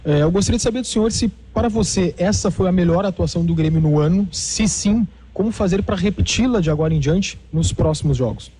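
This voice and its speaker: Man, Brazilian accent, higher-pitched husky voice, radio sports reporter, asking a question. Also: fast, hardly any pauses, warm tone, poor audio. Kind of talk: press conference question.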